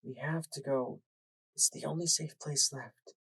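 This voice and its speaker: A man voicing a desperate mother mare who speaks softly and pleads frantically. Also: medium pitch, fairly even intonation, measured pace, vocal fry, slightly rough, pitched above the performer's own voice, full character voice, poor audio. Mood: soothing.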